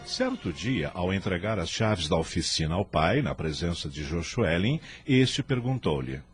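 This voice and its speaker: A man's voice: deep voice, sing-song, measured pace. A man with a Brazilian accent giving solemn, literary narration for a radio drama. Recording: music bed under narration.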